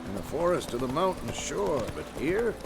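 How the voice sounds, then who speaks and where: An actor playing a seasoned, wary monster hunter in a video game, plain-spoken and wry. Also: male voice, a little high, sing-song, moderate pace, gravelly, heavy background noise. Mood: wary, easygoing. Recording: loud music behind.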